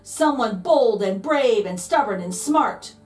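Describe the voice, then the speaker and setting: A woman's voice: a little high, expressive, takes it slowly, a little mellow, recorded from a distance, soft background music. A woman reading a picture book aloud to children, dramatic and playful, drawing out key words for emphasis. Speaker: read-aloud host, storyteller.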